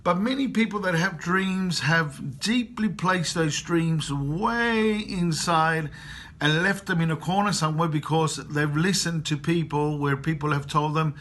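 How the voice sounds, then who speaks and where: A man's voice: a little high, unhurried, a bit bright. Earnest man in his 50s from Chile. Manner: earnest, repeats phrases for emphasis. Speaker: motivational coach. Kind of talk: personal motivational talk to camera. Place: travelling abroad.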